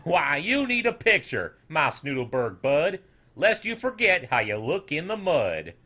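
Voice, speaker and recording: A man's voice: fairly high pitch, very animated, unhurried, bright, forceful tone, voice pushed up, heavily acted. A man voicing a gleefully rude, teasing farmer creature in a storybook, with a hooting manner. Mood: gleeful mockery. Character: boisterous mocking snoodle farmer, gleefully rude.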